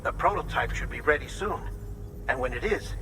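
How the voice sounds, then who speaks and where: Bright-voiced, formal scientist, higher-pitched man. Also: animated, big-room sound, slight background noise. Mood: measured, serious. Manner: formal, precise, measured phrasing. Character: earnest male scientist giving a research briefing. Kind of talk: scripted video game dialogue.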